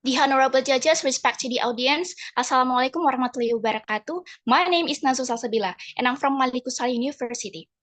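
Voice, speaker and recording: A young woman delivering a prepared competition speech, measured and formal. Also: high-pitched, fairly bright tone. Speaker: speech contestant.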